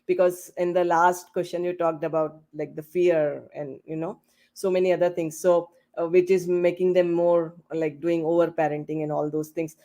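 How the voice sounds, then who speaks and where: A woman hosting a panel discussion on a video call, asking rambling questions in a casual, halting way with many "you know"s. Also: fairly low pitch, little pitch movement, mellow. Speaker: host and moderator of a panel podcast. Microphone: online video call.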